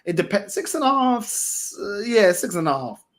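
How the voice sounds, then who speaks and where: A man's voice: high voice, big pitch swings, normal speed, a bit bright. A man with a London accent chatting casually as a livestream host, in a slangy, repetitive, emphatic way. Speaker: fan channel host.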